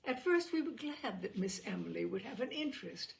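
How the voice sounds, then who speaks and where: A woman narrating a literary short story aloud in an expressive, measured way, with dramatic phrasing. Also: fairly high pitch, gravelly.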